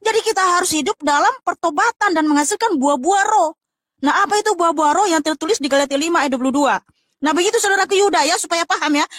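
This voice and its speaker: A woman with an Indonesian accent and a strikingly high voice, heard close and dry. She explains scripture emphatically, like a teacher laying out points in a debate. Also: fairly bright tone, dry recording. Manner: didactic, emphatic. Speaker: religious teacher on a panel.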